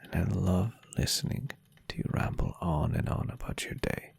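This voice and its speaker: Man playing a low, breathy, gentle vampire boyfriend. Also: wildly swooping pitch, heavily acted. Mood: tender, affectionate. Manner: tender, breathy, unhurried, softly teasing. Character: gentle, adoring centuries-old male vampire boyfriend. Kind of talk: soft-spoken ASMR roleplay.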